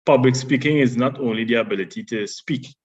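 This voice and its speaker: A man with a deep voice presenting a public speaking workshop, explaining points in a measured way with small restarts. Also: muffled recording. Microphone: online session audio.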